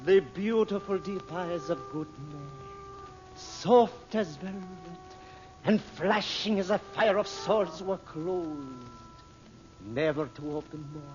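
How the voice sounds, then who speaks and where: Slow, high-pitched desert storyteller. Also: male voice, a little mellow. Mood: mournful, hushed. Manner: slow, declamatory, drawn-out chanting cries. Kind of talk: 1940s radio acting, chanted tale. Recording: muffled vintage radio recording with music. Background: music.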